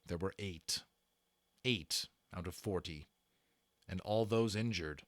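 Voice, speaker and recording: A man reading a story aloud as a dramatic, expressive narrator, building suspense. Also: low-pitched, slow, no room sound. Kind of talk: dramatic read-aloud with casual asides.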